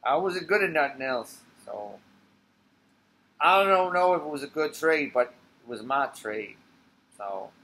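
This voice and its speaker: A man's voice: on the high side, lively intonation, measured pace, pauses often, bright, forceful tone, breathy edge, exaggerated voice. A man playing an old blind ex-fighter in a bar, streetwise and wistful, with clipped, offhand talk. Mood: wistful, coaxing.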